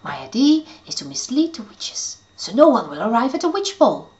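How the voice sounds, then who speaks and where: A woman's voice: on the high side, sing-song, normal speed, lo-fi sound, voice pushed up. A woman voicing a small, bossy and impatient female fairy. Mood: eager.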